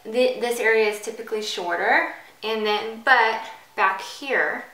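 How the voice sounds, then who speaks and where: A woman's voice: fairly high pitch, unhurried, recorded from a distance. A woman giving a casual, chatty step-by-step hairstyling tutorial as she demonstrates. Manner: chatty, informal. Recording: bright roomy echo, off the mic.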